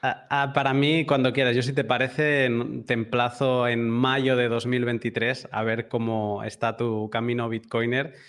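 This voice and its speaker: A man's voice: mid-range voice, flat delivery, unhurried, few pauses, a bit bright. A man hosting a conversational podcast interview, thinking aloud in a reflective way.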